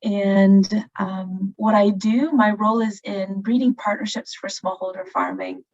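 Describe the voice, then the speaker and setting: A woman's voice: medium pitch, fairly even intonation, measured pace, darkish tone. A woman speaking on a webinar panel about plant breeding careers, thoughtful and full of fillers. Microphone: video call. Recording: lo-fi video call audio.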